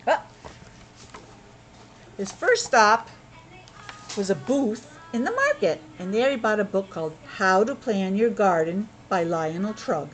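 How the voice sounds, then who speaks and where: A woman reads a children's story aloud with very animated pitch, swinging up and down as she goes. She often drops into casual, chatty asides to explain things or ask the young listeners questions. Music plays along with her voice. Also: measured pace, long pauses, poor audio. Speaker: teacher reading a picture book aloud to children.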